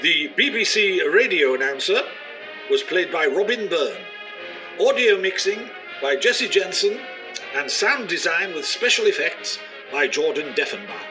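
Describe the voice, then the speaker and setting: An actor playing a formal, deadpan BBC-style radio announcer reading a scripted sign-off. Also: male voice, a little high, measured pace. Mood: formal, tongue-in-cheek. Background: music.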